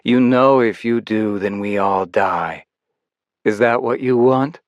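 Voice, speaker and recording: A man's voice: on the low side, slow pace, no room sound, lo-fi sound. A man reading an audiobook voices a cruel, mocking woman villain with a taunting, contemptuous manner.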